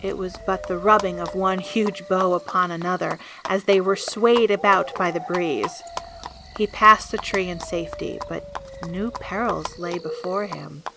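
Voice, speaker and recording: A woman giving measured, scripted literary narration for an audio drama. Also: fairly low pitch, steady tone. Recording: clearly noisy background with clicks.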